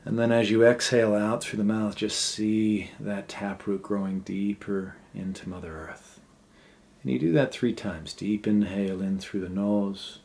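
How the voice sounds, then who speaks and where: A man with a fairly low voice leads a guided meditation. He speaks gently and without hurry, in short phrases with pauses between them. The delivery is unscripted, as if he is finding each instruction as he goes. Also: creaky.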